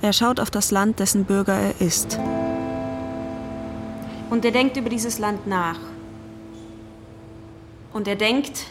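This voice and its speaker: Slow talking woman narrator for a theatre piece, scripted storytelling, clear guitar music underneath. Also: mid-range voice. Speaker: narrator and singer in a stage play. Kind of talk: scripted dramatic narration in third person.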